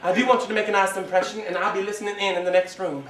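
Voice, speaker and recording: A man's voice: high-pitched, level tone, rapid-fire, fairly bright tone. A man performing a fussy, overbearing Southern belle mother, genteel and gushing but cutting, in a comic stage piece. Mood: syrupy, bossy. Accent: American South. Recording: roomy, distant mic with hiss.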